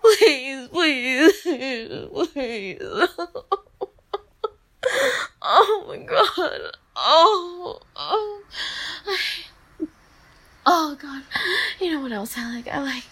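A woman in bed at night, exhausted, talking in a breathy, whispery, trembling voice that breaks into moans. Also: sits high, very animated, drawn-out words, pauses often, fairly bright tone. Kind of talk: intimate erotic audio monologue.

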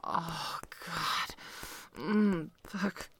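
Woman playing a dominant female lover, possessive, breathy whisper, lowish pitch, crackling vocal fry, sighing short phrases, intimate adult audio roleplay. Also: fairly bright tone, exaggerated voice. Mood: commanding, aroused. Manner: murmured, drawn-out sighs between short phrases.

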